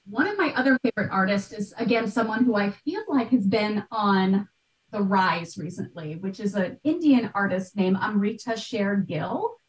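A woman's voice: mid-range voice, animated, moderate pace. A talkative woman, likely in midlife, explaining things emphatically in a conversational interview over a video call. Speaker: podcast host and art historian, interview guest. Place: small bright room at home. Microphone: computer mic on a video call. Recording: roomy echo, distant mic, video call.

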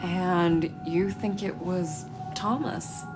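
Psychic investigator, low voice, slow measured delivery, calm, wry, caring, video game character. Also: female voice, music in the background. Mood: gentle, coaxing. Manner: measured, musing to herself. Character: adult woman investigator with spirit senses, calm, wry, caring. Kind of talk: video game acting and reflective narration.

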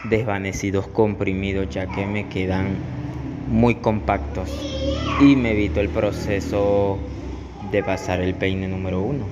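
Guy doing a barber tutorial, deep flat voice, explaining step by step, echoey noisy recording. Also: a little slow, muffled recording. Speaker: barber teaching a haircut tutorial. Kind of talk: instructional voice-over tutorial. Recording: echoey room with clear background noise.

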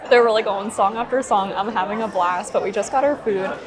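A woman vlog host chatting with excitement, gushing about a show at a diner. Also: mid-range voice, brisk. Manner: gushing, enthusiastic. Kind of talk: excited travel vlog chat. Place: busy diner with live singing and a crowd. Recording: loud music and crowd noise behind. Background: loud singing and diner chatter.

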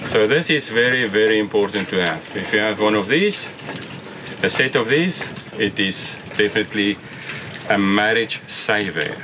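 A man's voice: fairly low pitch, moderate pace, noisy background. A middle-aged man on a catamaran deck by the sea, explaining in a plain, casual way how to sail in and catch a mooring ball. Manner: plain explaining, restarts phrases, chuckles now and then. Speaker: sailing vlogger. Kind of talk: casual instructional talk. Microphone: headset mic at first, then a camera mic up close.

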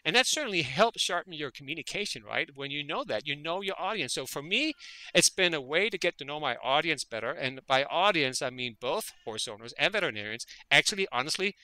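Man with a bright, dry voice. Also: fairly high pitch, expressive, brisk, dry recording, poor audio. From Denmark. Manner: earnest, repeats words while thinking. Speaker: guest, veterinary parasitologist. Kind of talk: conversational interview.

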